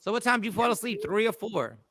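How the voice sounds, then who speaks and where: A man's voice: sits high, expressive, quick pace, a bit bright, no room sound. A man hosting a morning talk show, teasing his co-hosts with mock courtroom questions. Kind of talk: casual radio show banter.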